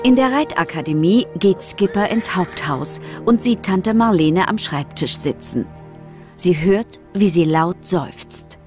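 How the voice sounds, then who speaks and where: A woman's voice: fairly low pitch, expressive, measured pace. A woman narrating a children's audio story from a script. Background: music.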